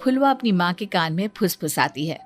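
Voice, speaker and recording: A woman's voice: on the low side, animated, brisk, faint music behind. A woman reading scripted narration for a Hindi cartoon story.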